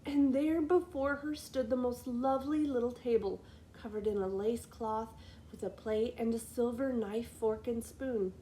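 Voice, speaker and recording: A woman reading a children's fairy tale as a storyteller, with expressive scripted narration. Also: a little high, measured pace, slight background noise, rough recording. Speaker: library storyteller.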